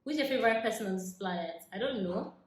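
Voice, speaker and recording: A woman in her twenties with a Nigerian accent, chatting casually to camera as she answers viewer questions, laughing often and dropping into soft asides. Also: medium pitch, expressive, fast. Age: 20s. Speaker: vlogger. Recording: echoey room with faint noise.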